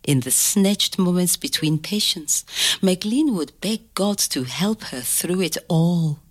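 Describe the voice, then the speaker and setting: A woman playing a loving, firm grandmother who tells a bedtime story in a measured, tender, expressive way. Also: fairly low pitch. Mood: grave. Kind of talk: scripted dramatic story narration.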